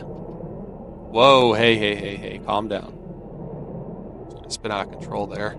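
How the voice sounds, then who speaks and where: Man doing casual game commentary over noisy ambience. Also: fairly low pitch. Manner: thinks aloud, asking himself questions. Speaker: gamer playing and commenting on a video game.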